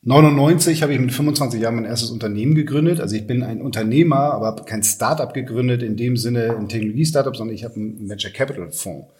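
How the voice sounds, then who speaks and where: Male guest, 40s, medium pitch, casual and matter-of-fact delivery in conversation. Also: fast talker, few pauses, a little mellow. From Hamburg, Germany. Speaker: podcast guest, venture capitalist. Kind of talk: casual interview talk.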